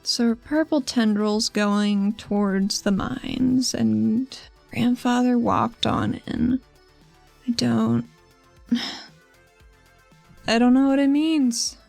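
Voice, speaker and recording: A woman's voice: fairly high pitch, fairly even intonation, drawn-out words, gravelly, pauses often. A woman playing a tabletop role-playing game, talking casually and partly in character. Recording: faint music under a dry voice. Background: soft background music.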